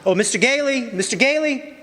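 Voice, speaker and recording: A man's voice: extremely high pitch, expressive, a little fast, pushed and bright, faint music behind, full character voice. A man playing a timid, obsequious company underling in a radio drama, stammering nervously. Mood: nervous, ingratiating. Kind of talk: stage radio drama acting. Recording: echoey hall, long reverb.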